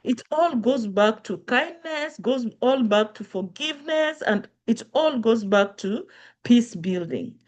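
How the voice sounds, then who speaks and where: Lady giving an earnest online lecture, highish voice, keeps repeating her points. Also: lively intonation, unhurried, a little mellow. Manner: earnest, repetitive, emphatic.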